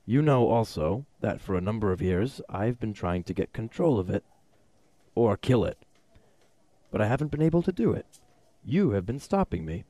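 A rich old schemer in a radio drama. His gravelly voice swings widely in pitch, dry and close, as he talks down to his listener with smooth, deliberate self-assurance. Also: warm tone, no room sound. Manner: deliberate, smooth-talking, condescending. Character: cunning, domineering rich old businessman, bluntly self-assured.

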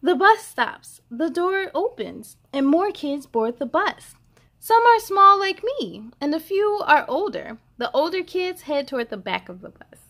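Woman voicing an excited young boy, high-pitched. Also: a little slow, full character voice. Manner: wide-eyed, expressive storybook delivery. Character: excited young first-grade boy narrating his bus ride.

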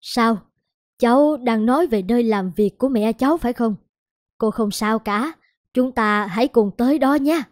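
Kindly wealthy lady, mellow and measured woman acting. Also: on the high side, dry recording, studio quality, close to an everyday voice. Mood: reassuring. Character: wealthy, kindly, composed middle-aged Indian-American lady. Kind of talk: animated moral fairy-tale dubbing.

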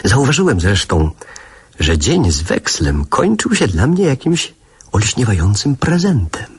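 An actor narrating childhood memories fondly in a radio drama, with a husky voice and literary, reflective manner. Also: male voice, lowish voice, sing-song, a little slow, exaggerated voice. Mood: amused nostalgia.

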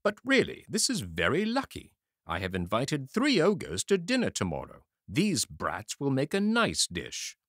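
A man voicing a menacing, greedy ogre in a storybook, slow, emphatic and gloating. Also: mid-range voice, wildly swooping pitch, a bit bright, dry recording, clean studio sound, voice pushed up, heavily acted. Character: booming menacing greedy male ogre, gloating child-eater.

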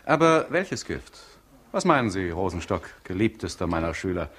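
An actor playing an ironic hospital doctor in a 1960s radio crime play, with flowery, mocking, theatrical speech. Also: male voice, low-pitched, sing-song, moderate pace, a bit bright, exaggerated voice. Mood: teasing, ironic. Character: witty, ironic hospital doctor teasing his pupil. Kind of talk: 1960s German-language radio crime drama acting.

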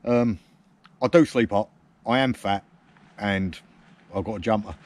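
A man's voice: on the low side, measured pace. A man, chatting casually to camera at a woodland camp, teasing his mate and mocking himself. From England. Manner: cheeky, teasing banter with dry self-mockery. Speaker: camping vlogger. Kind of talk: casual outdoor chat to camera. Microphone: camera mounted close on a tripod.

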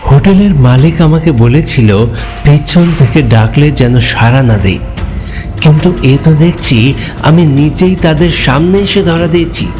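A man's voice: on the high side, unhurried, over music, lightly acted. A man playing a frightened narrator who recounts a ghost story in a dramatic, suspenseful way. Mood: anxious, dramatic. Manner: suspenseful, with anxious repetition. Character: frightened male hotel customer recounting his ghost encounter. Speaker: first-person narrator.

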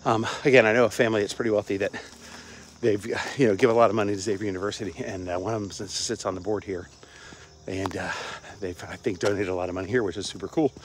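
A man's voice: lowish voice, steady tone, fast, slight background noise. An older man from Cincinnati, rambling casually while walking outdoors and filming himself on a phone at arm's length. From Cincinnati, Ohio. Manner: rambling, chatty, frequent fillers, chuckles. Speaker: vlogger. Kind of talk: casual walk-and-talk vlog. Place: outdoors on a suburban campus walk. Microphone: phone held at arm's length, wireless earbuds.

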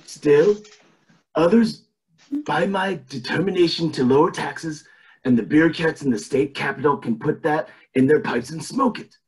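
A man's voice: on the high side, normal speed, breathy edge, poor audio. A man on a video call playing a scheming, pompous old tycoon in an amateur cartoon table read, with theatrical delivery. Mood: grandiose. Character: scheming old male tycoon running for governor.

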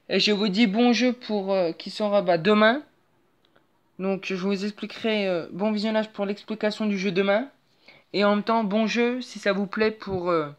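A young woman hosting her own channel, chatting casually over a phone screen recording with lots of fillers and restarts. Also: mid-range voice. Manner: rambling, hesitant, many fillers and restarts. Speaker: channel host.

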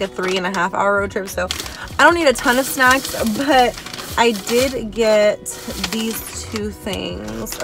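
A woman's voice: on the high side, creaky. A woman in her twenties talking casually and upbeat to the camera from the driver's seat of a parked car. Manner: chatty and upbeat, frequent "like" fillers. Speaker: vlogger. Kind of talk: casual vlog talk to camera.

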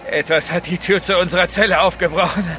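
An actor playing a terrified, frantic male prisoner in a radio play, crying out in halting panic. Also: sits high, steady tone, pushed and bright, a little hiss or hum.

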